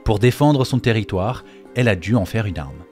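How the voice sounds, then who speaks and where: A man's voice: lowish voice, animated, moderate pace, darkish tone. A man narrating a scripted explainer as the host of a video on game lore. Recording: faint music behind the voice. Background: faint background music.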